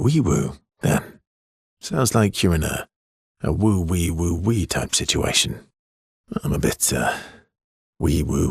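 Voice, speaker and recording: A man voicing a wry, guarded adventurer with a soft heart in a video game, gravelly and halting, with a teasing way of talking. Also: deep voice, dry recording, clean studio sound, exaggerated voice. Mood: playful, awkward. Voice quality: husky, gravelly. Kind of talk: naturalistic game dialogue acting.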